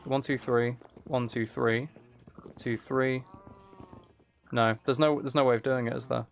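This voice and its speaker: A man's voice: mid-range voice, fairly even intonation, measured pace, creaky. A man hosting a casual game livestream, muttering to himself as he plays, repeating phrases and chatting with viewers. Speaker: livestream host. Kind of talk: casual game stream chat, reading and answering viewer messages.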